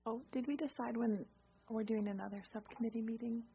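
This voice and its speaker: A woman's voice: on the high side, steady tone, a little fast, a little hiss or hum. A woman at a committee meeting, thinking aloud and restarting her sentences, recorded in a meeting room. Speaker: committee member. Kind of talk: discussion at a meeting. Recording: dull lo-fi recording.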